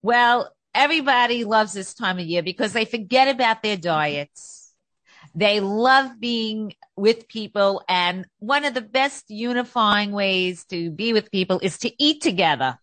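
A woman's voice: mid-range voice, expressive, unhurried, fairly bright tone, no room sound. Lady talk show host, dry close sound, upbeat intros. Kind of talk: interview hosting.

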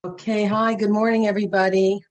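A woman with a raspy voice giving a gentle, earnest spiritual class over a video call. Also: medium pitch, level tone, a little fast, low-quality recording. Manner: earnest and gentle, with frequent ums. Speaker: Torah educator and speaker.

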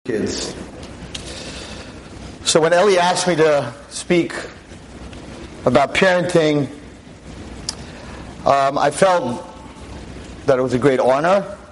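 A veteran teacher in his sixties lecturing an audience on a microphone, with a loud voice, emphatic and full of rhetorical questions. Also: on the high side, slow pace, pauses often, slight background noise, poor audio. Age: 60s. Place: talk in front of an audience. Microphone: handheld or stand mic.

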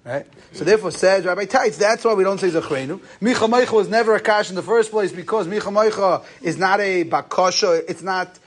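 A man's voice: sits high, brisk, few pauses. A man giving an informal religious lesson, posing questions and answering them, with Hebrew terms mixed into his speech. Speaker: rabbi giving a lecture.

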